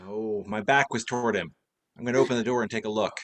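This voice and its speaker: A man's voice: fairly low pitch, steady tone, quick pace, background noise, close to an everyday voice. A man playing a cheerful, wisecracking former private detective in a radio play, dry and flippant. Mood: alert, unruffled.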